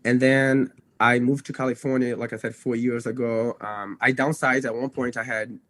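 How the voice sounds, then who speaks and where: A young man who grew up in Brazil with Portuguese as his first language talks in a dry, close-sounding space. His voice sits at a middle pitch. He speaks casually as an interview guest, circling back and restarting phrases with plenty of fillers. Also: flat delivery, moderate pace, a bit bright, dry recording. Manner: rambling, self-correcting, frequent "you know" and "like". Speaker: podcast guest, dog trainer. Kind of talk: casual podcast interview, telling own story.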